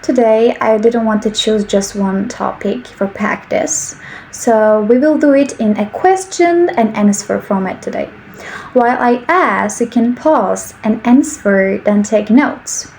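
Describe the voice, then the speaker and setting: Young Turkish woman speaking slowly, light voice. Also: fairly high pitch, darkish tone, slight background noise, rough recording. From Turkey. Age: 20s. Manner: slow, careful speech with simple sentences. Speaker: English teacher. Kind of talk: slow English practice monologue.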